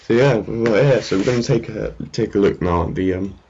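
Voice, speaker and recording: A man's voice: lowish voice, a little fast, a little mellow, highs cut off, a little hiss or hum. A man giving casual, unscripted commentary on a screen as a market analyst, hesitant and rambling. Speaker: trading analyst presenting his market update. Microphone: amateur screen-recording voice-over.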